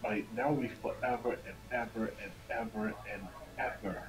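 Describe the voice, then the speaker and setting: A man speaks as a guest in a relaxed stream conversation. His voice sits at a middle pitch, and he talks in a casual, conversational way. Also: moderate pace, rough recording. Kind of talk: casual chat.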